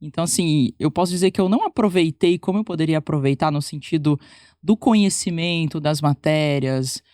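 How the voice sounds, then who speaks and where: A woman's voice: low-pitched, brisk, mellow, dry recording, clean studio sound. A woman with a Paraná Brazilian accent, a physiotherapist chatting informally as a podcast guest, full of fillers and restarts. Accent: Paraná Brazilian Portuguese. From Brazil, born in Minas Gerais, raised in Paraná. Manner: chatty and informal, many fillers and self-corrections. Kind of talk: casual interview conversation.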